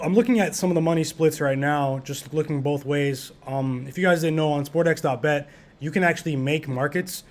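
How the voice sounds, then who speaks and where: A man hosting a sports betting podcast, easygoing and full of fillers, reading out lines and chat comments. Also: fairly high pitch. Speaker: podcast host.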